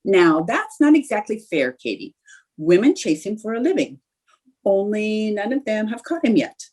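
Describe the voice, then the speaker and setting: A woman playing an eager, scheming, chatty young housewife in an old-time radio comedy, with a husky voice. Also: mid-range voice, very animated, moderate pace, darkish tone. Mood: airy. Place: indoors, remote video call.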